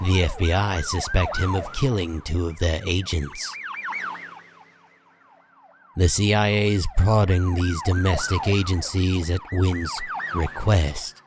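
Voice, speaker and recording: A man narrating a comic book story in a dramatic, deliberate storytelling style. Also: low voice, huge pitch swings, noisy background.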